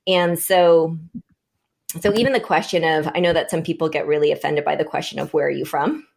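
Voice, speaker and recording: A woman's voice: sits low, fairly even intonation, fairly quick, gravelly, darkish tone, slight background noise. A woman born in Vietnam, speaking as a panel guest, reflecting aloud and restarting her sentences as she thinks. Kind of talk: conversational panel discussion.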